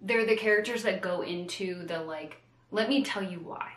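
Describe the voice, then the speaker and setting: A woman co-hosting a casual book discussion, retelling in an animated way with playful, slangy asides. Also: medium pitch, normal speed, a crowd in the background. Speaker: co-host of a book discussion show. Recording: roomy echo.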